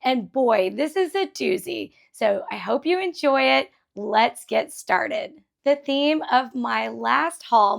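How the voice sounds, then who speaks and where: A woman's voice: a little high, creaky, a bit bright. A middle-aged woman hosting a thrift haul vlog in a chatty, enthusiastic way, her voice a little scratchy after a concert. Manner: chatty and enthusiastic, laughs often. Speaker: vlog host. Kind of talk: casual thrift haul chat.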